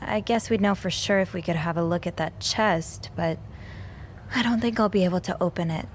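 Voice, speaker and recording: An actress playing an earnest, curious young amateur sleuth in a video game, speaking in a hesitant, thoughtful, understated way. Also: female voice, lowish voice, normal speed, slight background noise, natural voice. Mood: disappointed. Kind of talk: naturalistic video game acting.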